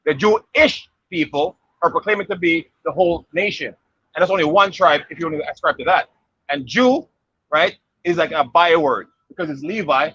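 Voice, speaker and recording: A man's voice: a little high, fairly bright tone, husky, no room sound, dull audio. A man preaching and debating with a passerby out on a city street. He talks insistently and keeps checking in with "okay" and "right?".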